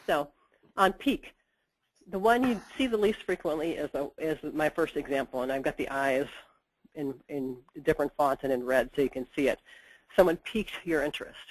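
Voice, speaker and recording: A woman's voice: sits low, normal speed, no room sound, low-quality recording. A woman editor with a head cold, giving practical explanations in a webinar interview and often saying "you know". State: stuffed up with a cold. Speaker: book editor.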